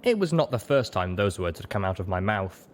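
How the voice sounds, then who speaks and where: A man's voice: on the low side, very animated, brisk. A man reading scripted first-person story narration as an audiobook narrator.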